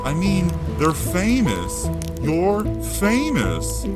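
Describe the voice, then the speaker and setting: A man voicing a huge, blustering, protective giant in an audio drama, growling and booming in rough rustic speech. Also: wildly swooping pitch, very slow, loud music behind the voice, heavily acted. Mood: bewildered.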